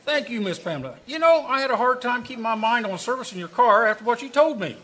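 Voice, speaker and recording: An actor playing an eager, gossipy small-town mechanic in a live radio mystery recreation, chattering quickly. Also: male voice, very high voice, expressive, a bit bright, exaggerated voice.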